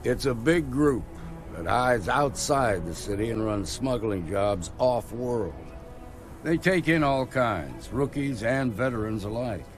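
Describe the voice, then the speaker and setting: An actor playing a gruff, weary frontier marshal in a video game, blunt and folksy. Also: male voice, mid-range voice, expressive, slow pace, soft background music. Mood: weary, measured.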